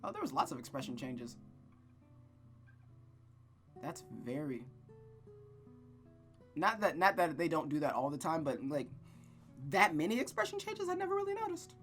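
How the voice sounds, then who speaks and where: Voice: mid-range voice, wildly swooping pitch, moderate pace, pauses often, a bit bright. Enthusiastic storytime streamer over guitar music. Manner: enthusiastic, informal, stumbles while reading. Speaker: storytime streamer reading a game aloud. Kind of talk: casual gameplay commentary and read-aloud narration.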